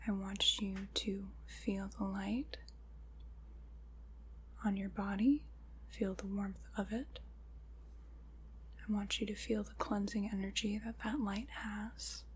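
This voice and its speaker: A woman playing a gentle, solemn witch in an ASMR roleplay, guiding a ritual in a soft, breathy, deliberate whisper. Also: mid-range voice, level tone, slight background noise, close to an everyday voice. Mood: hushed, guiding. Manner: slow, soothing, deliberate guided-ritual delivery.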